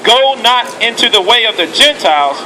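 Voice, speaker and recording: A man with a very high voice reads scripture aloud on a noisy street. His reading is slow and measured, and he sounds a little distant from the mic. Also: expressive, bright, forceful tone. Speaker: scripture reader. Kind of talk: reading Bible verses aloud. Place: outdoors on a city street.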